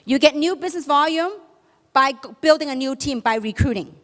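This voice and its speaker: Female seminar speaker, Chinese accent, high voice, emphatic question-and-answer delivery, reverberant hall. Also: fairly quick. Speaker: motivational business speaker. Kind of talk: motivational sales seminar talk to an audience. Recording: echoey hall, long reverb.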